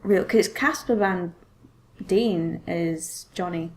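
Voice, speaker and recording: A woman's voice: lowish voice, takes it slowly. A woman co-hosting a podcast, chatting casually about films and often thinking aloud. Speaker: podcast co-host. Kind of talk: casual film discussion chat.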